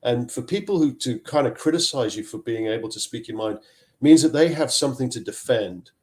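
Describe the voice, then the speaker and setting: A man's voice: mid-range voice, lively intonation, brisk, darkish tone. A middle-aged man from Zambia, a tech executive, talking on a video call interview and often repeating words as he searches for phrasing. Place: home office.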